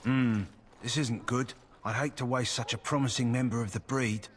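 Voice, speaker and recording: A man's voice: medium pitch, fairly even intonation, moderate pace, a little hiss or hum, natural voice. An actor playing a male kennel master in a fantasy game, talking steadily and practically about a sick war hound. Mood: concerned.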